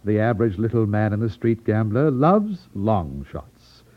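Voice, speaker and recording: Suave elderly racket boss, tinny recording. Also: male voice, lowish voice, big pitch swings, unhurried. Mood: brisk, businesslike. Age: older. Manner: over-polite, fussy, hesitant ums, condescending. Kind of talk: 1950s radio drama acting. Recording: tinny, narrow-band vintage radio recording.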